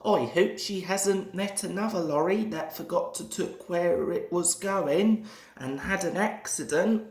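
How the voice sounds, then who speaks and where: A man voicing an anxious, eager old male tram engine from a children's storybook, in an earnest, expressive manner. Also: high voice, measured pace. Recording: echoey room.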